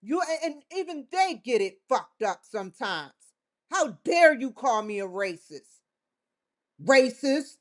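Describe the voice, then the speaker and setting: A woman's voice: fairly high pitch, sing-song, slow pace. A woman vlogger giving a defiant, confrontational monologue straight to the camera, swearing often. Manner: defiant, confrontational, with frequent profanity. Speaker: vlogger hosting her own show.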